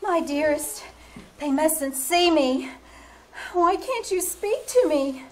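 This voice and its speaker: High-voiced lovesick young mermaid played by a woman. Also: expressive, takes it slowly. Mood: longing, parting. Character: lovesick young mermaid girl, tender, longing, sorrowful. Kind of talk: old-time radio drama acting. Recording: noisy live theater stage recording.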